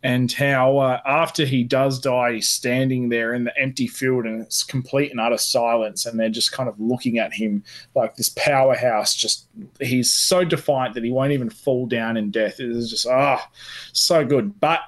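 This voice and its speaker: A man's voice: medium pitch, moderate pace. A man co-hosting a gaming podcast, telling things in a casual, earnest, rambling and enthusiastic way. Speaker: podcast co-host. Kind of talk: casual gaming chat, anecdotal.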